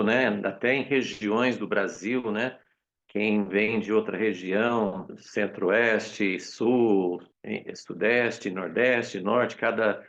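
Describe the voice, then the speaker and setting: A man hosting a prayer meeting, speaking slowly and deliberately with hesitant fillers. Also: lowish voice, muffled recording. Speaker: host of the meeting.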